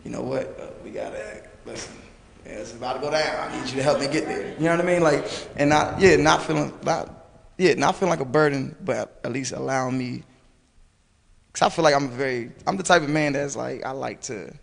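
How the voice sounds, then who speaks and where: A hoarse young man talking loosely on a panel, rambling with lots of fillers. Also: medium pitch, brisk. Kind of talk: casual panel discussion. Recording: long reverb, faint noise.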